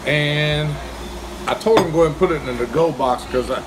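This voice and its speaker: A man's voice: a little high, fairly quick, background noise. A man, chatting casually as he reviews diner food while eating. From Kentucky, US. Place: small diner, indoors. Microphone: phone camera across the table.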